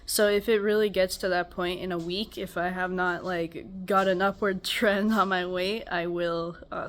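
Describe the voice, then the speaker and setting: A young woman talks through her week in a loose, rambling way, her voice stuffy and congested and her tone weary. She sits low in her voice and laughs things off with self-deprecating asides. She is recording at home next to a loud air conditioner. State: tired. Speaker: vlogger. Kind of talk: casual personal check-in.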